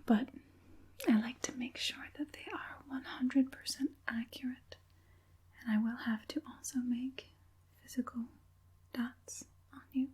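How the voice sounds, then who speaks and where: A woman voicing an evil witch puppet master in an ASMR roleplay, mostly whispering in a breathy, deliberate, murmuring way. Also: fairly high pitch, little pitch movement, a little mellow. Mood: soothing, sinister. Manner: slow, deliberate, murmuring assent between pauses.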